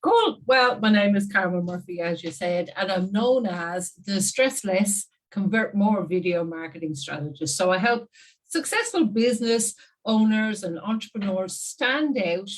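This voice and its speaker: Irish woman, 50s, interview guest, lively chatty storyteller who laughs a lot. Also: mid-range voice, measured pace, low-quality recording. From Ireland. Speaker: guest marketing strategist. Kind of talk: casual interview talk.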